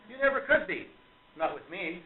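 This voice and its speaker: A man's voice: sits high, very animated, moderate pace, a little hiss or hum. A man playing a proud, enthusiastic stage magician in a radio play, speaking in an earnest, emphatic way. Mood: affectionate. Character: proud, boyishly enthusiastic male stage magician, warm to friends. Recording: tinny phone-like, roomy recording.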